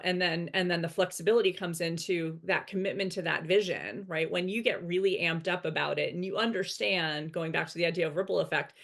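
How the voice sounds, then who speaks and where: A woman executive coach, a guest on a webinar, explains her ideas steadily over a video call and keeps checking in with "right?". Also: on the low side. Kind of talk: casual webinar conversation.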